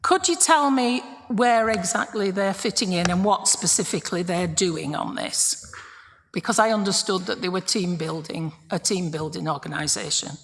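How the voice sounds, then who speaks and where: A woman's voice: on the low side, normal speed, long reverb tail, a little hiss or hum. A woman councillor at a formal meeting, blunt and critical, putting pointed questions to officers.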